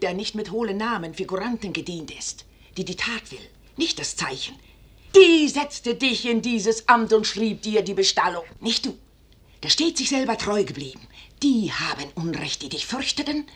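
An actress playing a forceful, scheming noblewoman in a 1950s German radio drama, declaiming insistently in classical stage style with a husky voice. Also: mid-range voice, big pitch swings, normal speed. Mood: fierce, commanding. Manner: rhetorical, insistent, theatrically declaimed. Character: forceful, scheming noblewoman urging a wavering commander to seize power.

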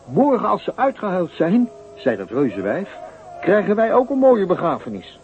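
An actor playing an anxious, pleading chauffeur in a radio play, urgent and incredulous. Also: male voice, sits high, huge pitch swings, moderate pace, narrow, tinny audio. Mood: agitated, urgent. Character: agitated, pleading male chauffeur, anxious messenger. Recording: thin, crackly old radio recording.

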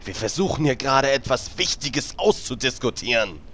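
A man's voice: mid-range voice, animated, normal speed, fairly bright tone, slight background noise, lightly acted. A man playing an irritable, officious character scolding others in a parody audio drama. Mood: annoyed.

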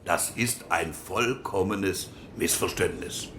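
A thoughtful elder statesman who speaks in a lowish voice. He cautions the room deliberately in this radio drama. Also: a bit bright, slight background noise. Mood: cautioning.

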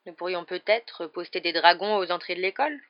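Une lectrice jouant un ministre agacé et soucieux. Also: female voice, fairly low pitch, fairly quick, dull audio, natural voice. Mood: worried. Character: flustered, irritated male government minister. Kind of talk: acted audiobook dialogue.

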